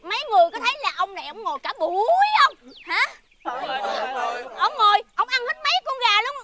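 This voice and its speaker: A woman's voice: very high voice, lively intonation, fairly quick. A woman playing a greedy, bossy eatery owner in a folk-tale drama, exclaiming and repeating herself theatrically. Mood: outraged, haggling. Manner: scolding, repetitive, exclaiming. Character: greedy, bossy female eatery owner, shrill and fawning to rich customers. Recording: very noisy location sound.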